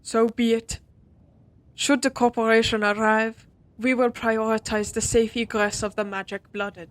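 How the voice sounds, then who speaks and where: A woman's voice: fairly high pitch, little pitch movement, measured pace, lightly acted. A woman playing a proud, regal, ancient vampire lady in an audio roleplay, speaking in formal, stately wording. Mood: regal, grave.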